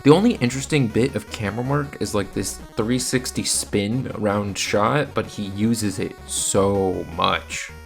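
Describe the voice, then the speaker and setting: Low-voiced man narrating a blunt review. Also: unhurried, vocal fry, hardly any pauses, soft background music. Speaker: video reviewer, host. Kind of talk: scripted review narration with casual asides.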